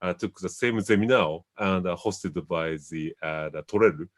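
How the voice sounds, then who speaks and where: A Japanese man with a lowish voice hosts a relaxed chat in English. He hesitates often, hunting for the right word before going on. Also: moderate pace. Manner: hesitant, searching for English words. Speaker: host. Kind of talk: casual chat in a second language.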